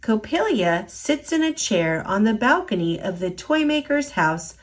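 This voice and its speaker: Slow, expressive woman storyteller. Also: medium pitch. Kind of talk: children's picture book read-aloud.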